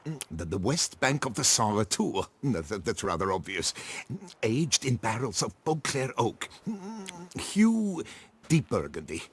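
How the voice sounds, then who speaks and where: An actor playing a fussy, self-assured court sommelier in a fantasy game, murmuring and savoring each pronouncement. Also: male voice, medium pitch, sing-song, slightly rough. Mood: pensive, savoring. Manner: slow, savoring, hesitant murmurs between pronouncements.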